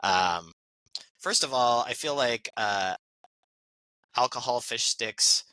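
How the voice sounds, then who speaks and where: Casual male podcast host, very bright tone, dry close sound, rambly with fillers. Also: mid-range voice, slow pace, no room sound, low-quality recording. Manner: rambling, laughing, many fillers. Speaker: podcast host reading poll results.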